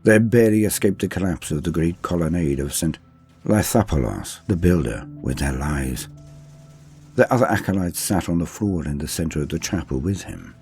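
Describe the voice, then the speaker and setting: A man's voice: low-pitched, expressive, normal speed, a little mellow, soft background music. A man giving a solemn, theatrical dramatic reading of a science fiction war story as its narrator.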